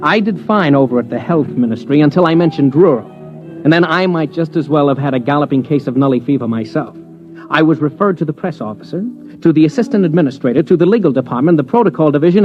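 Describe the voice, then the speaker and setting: Brash young American newsman, played by a male actor, lightly high voice, wry, pushy, dull vintage radio sound with faint music. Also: animated, quick pace, lightly acted. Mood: wry narrating. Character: brash, persistent young male wire-service reporter. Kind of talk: 1950s radio drama acting and first-person narration.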